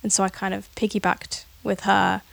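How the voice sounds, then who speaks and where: A woman's voice: medium pitch, moderate pace, creaky, no echo. A young woman of eighteen, a podcast guest, chatting casually with lots of ums and likes. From Scotland, raised in England. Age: young adult. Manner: relaxed, uses fillers like um and like. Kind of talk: casual interview chat.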